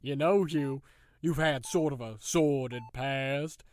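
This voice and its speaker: A man's voice: medium pitch, takes it slowly, darkish tone, a little hiss or hum, natural voice. An actor playing a smug, sadistic man in authority who taunts his captive with mock-folksy theatricality in an audio drama. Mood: taunting.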